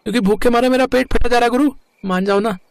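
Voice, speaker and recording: Man voicing a high-pitched cheeky truck sidekick. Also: fairly even intonation, quick pace, no room sound, studio quality. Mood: coaxing. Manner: coaxing, slangy. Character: cheeky, hungry young male truck helper, eager sidekick. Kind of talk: animated moral story dialogue.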